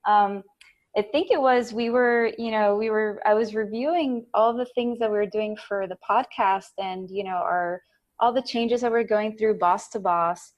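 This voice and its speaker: A woman's voice: medium pitch, fairly even intonation, moderate pace, highs cut off. A woman from Puerto Rico co-hosting a podcast, talking in a casual, rambling and effusive way. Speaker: podcast co-host. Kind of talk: casual chat.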